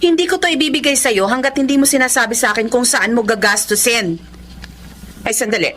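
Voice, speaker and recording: A woman's voice: fairly high pitch, a little fast, a bit bright, a little hiss or hum, lightly acted. A woman playing an anguished, scolding young wife and mother in a Filipino radio drama, with a pleading, emotional delivery. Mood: wary, firm.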